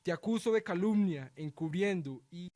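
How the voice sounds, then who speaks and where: A man's voice: high voice, animated, normal speed, warm tone. A man playing a cruel, self-righteous regent in a radio drama, declaiming pompously as he passes judgment. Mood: stern, accusing.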